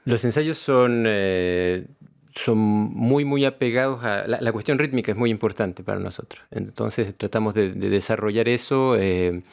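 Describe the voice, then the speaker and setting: A man's voice: on the low side, unhurried, gravelly. A man with a Chilean accent, a musician being interviewed, talking reflectively and often searching for words.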